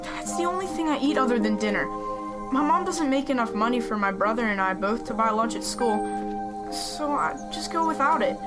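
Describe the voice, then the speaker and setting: A teenage girl playing a proud, guarded, defensive high school student in an amateur audio drama. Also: lively intonation, fairly quick, loud music behind the voice, natural voice. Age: teens.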